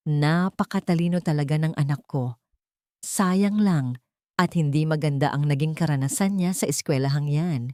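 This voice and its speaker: A woman's voice: sits low, normal speed, a little mellow, no room sound, studio quality, natural voice. A woman voicing a scheming adult sister in an audio storybook, artificially nostalgic and insinuating. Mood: feigned concern. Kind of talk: audio storybook character dialogue.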